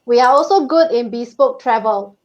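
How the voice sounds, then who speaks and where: A woman co-hosting a casual travel livestream, chatty and quick to laugh. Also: a little high, lively intonation, a little mellow, dull audio. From Singapore. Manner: bubbly, laughs often. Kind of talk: casual live-stream chat.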